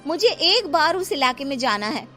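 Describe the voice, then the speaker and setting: A woman's voice: sits high, sing-song, moderate pace, sharp tone, soft background music, voice pushed up, exaggerated voice. A woman voicing a curious, stubborn young kinnar girl. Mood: upset. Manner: questioning, stubborn.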